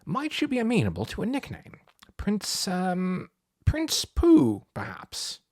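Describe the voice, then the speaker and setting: Man voicing a pompous, chipper boy scholar, swooping pitch. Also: huge pitch swings, slow, no room sound, clean studio sound, heavily acted. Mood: hesitant, courteous. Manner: wordy, old-fashioned British gentlemanly phrasing. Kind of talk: storybook character voice.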